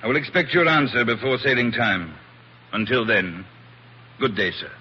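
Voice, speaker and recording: An actor playing a formal, coolly insistent plantation owner in a 1940s radio drama, stiffly polite and clipped. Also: male voice, medium pitch, lively intonation, moderate pace, highs cut off, natural voice. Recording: noisy old 1940s radio recording.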